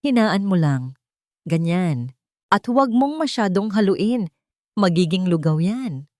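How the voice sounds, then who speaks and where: An earnest, emotional mother from an audio drama played by a woman narrator, wronged yet brave and tender. The voice swings widely in pitch and is recorded very dry. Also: lively intonation, slow pace, a little mellow, no echo. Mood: gently coaching.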